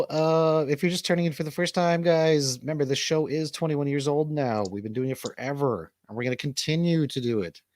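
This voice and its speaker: A man's voice: mid-range voice, no room sound. Middle-aged man hosting, dry close sound. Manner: informal, swearing. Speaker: show host. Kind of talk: casual live stream chat.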